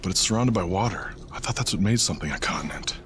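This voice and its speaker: A dim but earnest wrestling sidekick talks fast at a medium pitch. He sounds naively sure of himself in game banter, with faint noise behind. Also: animated, a bit bright. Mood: puzzled. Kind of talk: video game cutscene banter.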